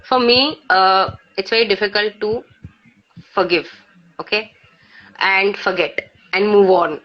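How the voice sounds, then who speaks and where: An Indian-accented woman with a medium-pitched voice talks reflectively, like a poet sharing her work. Her delivery is halting and conversational. The sound is dull and lo-fi, as on a live stream. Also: fairly even intonation. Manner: halting, peppered with 'you know'. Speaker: poet on a live poetry session. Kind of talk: casual reflective chat and poetry recitation.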